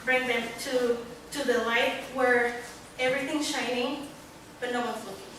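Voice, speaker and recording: Student panelist, young Mexican woman, earnest and halting, recorded far off with audible room noise. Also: on the high side, fairly even intonation, a bit bright. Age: young adult. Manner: earnest, searching for words with many pauses. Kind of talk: panel talk at a book launch. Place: large echoey library hall. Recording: echoey hall, distant, room noise.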